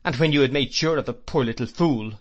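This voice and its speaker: Guy putting on a sharp bossy old aunt, higher voice, tells people off hard. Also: brisk, dull audio, close to an everyday voice. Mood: scornful. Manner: indignant, emphatic and scolding. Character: fierce blunt elderly aunt, scornful and outspoken. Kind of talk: audiobook character reading.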